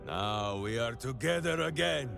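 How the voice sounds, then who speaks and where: An actor playing a hearty, simple-minded heroic warrior in a video game, speaking with theatrical, earnest grandeur. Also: male voice, medium pitch, animated, a little slow, creaky, a bit bright, exaggerated voice. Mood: proud, warm. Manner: grandiose, earnest third-person speech. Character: hearty, devoted, simple-minded heroic warrior man with beloved pet hamster. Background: game music.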